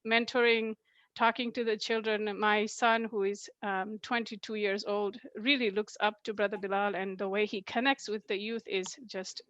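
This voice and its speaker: A woman's voice: mid-range voice, little pitch movement, normal speed, fairly bright tone, no echo, lo-fi sound. Friendly middle-aged woman from Nepal. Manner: friendly, laughs easily. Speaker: guest introducer.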